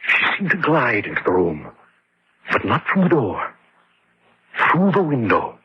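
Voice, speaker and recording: A man's voice: mid-range voice, wildly swooping pitch, a little slow, husky, exaggerated voice. An actor playing an exhausted, grieving man who narrates his haunting in a confessional way in an old radio horror drama. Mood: numb, haunted. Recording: dull lo-fi old radio recording.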